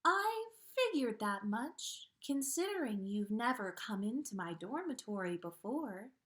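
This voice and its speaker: A woman puts on a dreamy teenage witch from a storybook. The voice is high and airy, with an unhurried, quirky and serenely odd temper. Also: big pitch swings. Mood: dreamy, calm.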